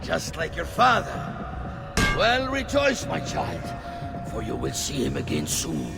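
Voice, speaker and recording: A gloating older villain with a creaky, gravelly voice that swings wildly in pitch. He is theatrical, menacing and mocking, a video game tyrant drawing out his words over loud music. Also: huge pitch swings, a little slow, heavily acted. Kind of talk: dramatic video game acting.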